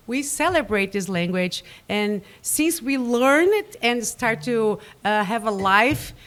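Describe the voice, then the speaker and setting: A woman's voice: a little high, a bit bright. Brazilian woman hosting a radio show, eager. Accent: Brazilian Portuguese. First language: Portuguese. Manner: enthusiastic, inviting, a little nervous. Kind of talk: casual live studio chat. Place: radio studio.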